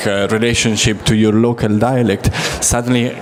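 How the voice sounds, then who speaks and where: Low-voiced guy on a panel, slow and thoughtful, loud traffic behind. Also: little pitch movement. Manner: measured, reflective, with pauses. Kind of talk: panel discussion.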